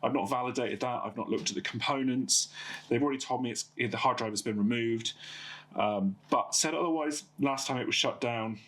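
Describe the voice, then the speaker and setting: Croaky man with a cold. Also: medium pitch, a little fast, a bit bright. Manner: hesitant, many uh and um fillers. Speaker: host of a PC repair channel. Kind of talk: casual hands-on repair talk.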